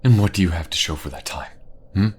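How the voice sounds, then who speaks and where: A man's voice: deep voice, animated, fast, a little hiss or hum. An actor playing a stern, vengeful king and father in a fantasy audio drama, commanding and brooding. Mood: stern, accusing.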